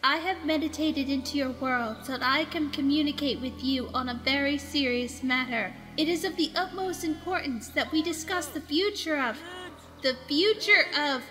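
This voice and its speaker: A woman's voice: high voice, moderate pace, a bit bright, long reverb tail, soft background music. A woman voicing a solemn young girl calling on spirits in a cartoon parody, grand and ceremonious.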